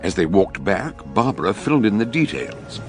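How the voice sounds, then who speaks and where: A low British man's voice over prominent music. narrates a suspenseful radio mystery as a measured storyteller, his intonation lively and varied. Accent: British English. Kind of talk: scripted radio drama narration.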